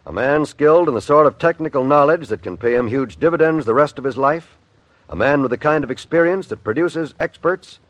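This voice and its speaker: An American man announces a mid-century radio advertisement. His voice is somewhat high and animated, with declamatory emphasis on key words. The sound is close and dry. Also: brisk, dry recording. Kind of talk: 1950s scripted recruitment ad read.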